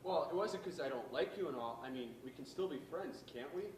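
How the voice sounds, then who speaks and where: An awkward, nervous teen boy on a date acted by a young man. His pitch jumps around a lot, and the stage recording is distant and echoey. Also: lively intonation, a little fast, darkish tone, a little hiss or hum, close to an everyday voice. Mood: awkward, surprised. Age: young adult. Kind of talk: amateur stage play acting.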